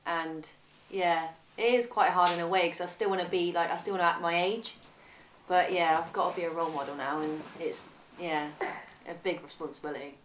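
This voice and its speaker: A woman's voice: fairly low pitch, fairly even intonation, a little fast, recorded from a distance. A 22-year-old woman speaking candidly in a documentary interview, informal and hesitant, often correcting herself. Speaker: young mother being interviewed. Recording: echoey room with faint background noise.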